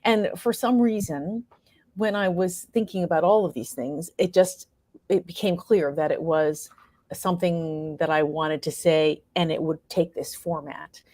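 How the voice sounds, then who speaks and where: A woman's voice: on the low side, steady tone, measured pace, a little mellow, dry recording. A middle-aged American woman talking reflectively as an interview guest, animatedly acting out her own thoughts as she tells stories. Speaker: guest, playwright and actor.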